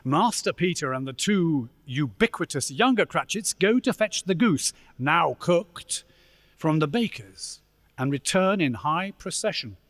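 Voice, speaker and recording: A man playing a storyteller, narrating a Victorian tale with theatrical, deliberate delivery in an echoey church. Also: a little high, expressive, fairly bright tone. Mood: measured. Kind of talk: dramatic scripted narration. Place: church, live performance. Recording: echoey church hall, long reverb.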